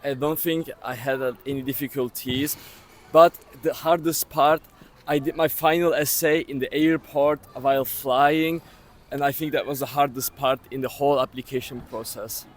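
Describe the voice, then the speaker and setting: A young man from Cyprus answering interview questions plainly and briskly. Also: mid-range voice, fairly even intonation. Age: young adult. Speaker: student interviewee.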